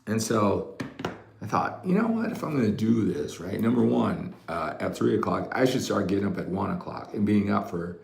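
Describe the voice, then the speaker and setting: A man's voice: lowish voice, big pitch swings, moderate pace, creaky, darkish tone, a little hiss or hum. A mature American man who works as a fitness coach, chatting casually to livestream viewers in a rambling way, often tagging his sentences with "right?". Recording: roomy, echoey room sound.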